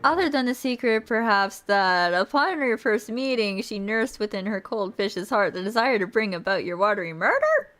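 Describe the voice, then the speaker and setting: A woman streamer reading a visual novel aloud as theatrical storybook narration, expressive and playful. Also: mid-range voice, moderate pace, hardly any pauses. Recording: music behind the voice.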